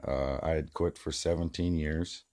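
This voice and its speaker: A hoarse man, about forty, rambling in a casual vlog, filmed on a handheld phone inside a small greenhouse. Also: a bass voice, steady tone, moderate pace, warm tone. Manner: rambling, many restarts and fillers. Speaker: vlogger. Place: small plastic greenhouse, indoors. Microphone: phone camera held close.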